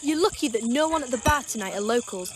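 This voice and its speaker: A woman's voice: fairly high pitch, expressive, quick pace, noisy background, close to an everyday voice. A woman acting a prickly, defensive young solicitor who works behind a bar, in an amateur audio drama, curt and indignant. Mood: angry, accusing. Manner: curt, indignant, sharp-tongued.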